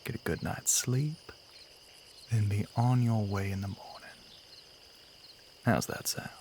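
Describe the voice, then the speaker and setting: A man playing a courtly, softly menacing Southern vampire in an intimate audio roleplay, speaking softly and breathily, often near a whisper, with a Southern US accent. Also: on the low side, measured pace, a little hiss or hum. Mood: calm warning.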